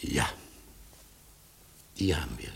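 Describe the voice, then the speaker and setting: An actor playing a weary, dryly doubtful émigré man with a creaky voice in a radio drama. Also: slow pace, a little hiss or hum, lightly acted. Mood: wondering, cautious. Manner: dry, doubting. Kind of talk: German radio drama acting.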